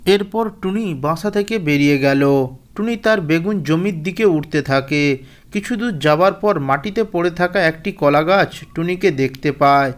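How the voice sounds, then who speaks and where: A man with a fairly high-pitched voice serves as the narrator of a children's cartoon tale. He delivers scripted storytelling lines. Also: unhurried.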